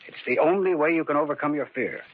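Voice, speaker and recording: A man's voice: on the high side, quick pace, natural voice. An actor playing a psychiatrist who reassures his patient in a firm, insistent way in 1940s radio drama style. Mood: firm reassuring. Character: brisk male psychiatrist, firmly reassuring. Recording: dull old radio recording.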